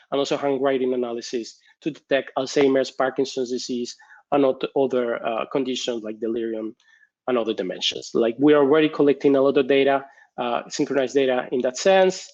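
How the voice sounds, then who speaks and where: A man's voice: mid-range voice, darkish tone, muffled recording. A man from Spain with a Spanish accent, presenting research at a conference over a video call. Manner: frequent fillers and restarts while explaining. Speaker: conference speaker. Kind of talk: technical conference talk.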